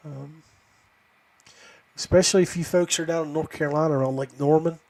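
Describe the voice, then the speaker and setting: A man hosting a podcast in a casual, folksy way, halting and often trailing off. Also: fairly high pitch, steady tone, gravelly, darkish tone. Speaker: podcast host. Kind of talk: casual livestream chat.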